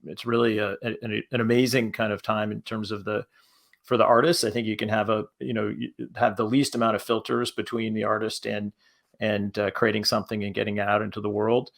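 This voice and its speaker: A man talking casually as a panelist, in a halting manner that circles back over his phrases. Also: on the low side, flat delivery, gravelly, a bit bright. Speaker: panelist, podcast creator.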